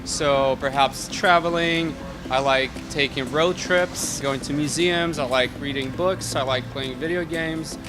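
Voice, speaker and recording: A man in his twenties with a Russian accent and Russian as his native language talks casually about himself as a racing driver. His voice runs a little high for a man. Music plays clearly behind him. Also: measured pace. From Moscow, Russia. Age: 20s. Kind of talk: casual self-introduction to camera.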